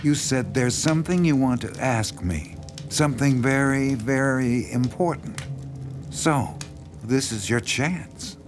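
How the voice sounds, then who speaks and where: An actor playing an assured, persuasive horror writer in an audio drama, coaxing in a controlled, deliberate manner. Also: male voice, medium pitch, lightly acted. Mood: probing, commanding. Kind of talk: naturalistic audio drama acting. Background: music behind the voice.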